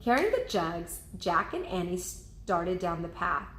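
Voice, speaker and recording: Woman teacher reading a kids book out loud, slow, echoey room. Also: lowish voice, big pitch swings, a little hiss or hum. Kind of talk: children's storybook read-aloud. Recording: roomy, echoing indoor sound.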